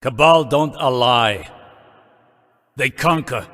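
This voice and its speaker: Scornful alien warrior, slow and reverberant. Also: male voice, medium pitch, a bit bright. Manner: scornful, blunt. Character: contemptuous, hawkish alien warrior advisor. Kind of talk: dramatic video game acting. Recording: hall reverb.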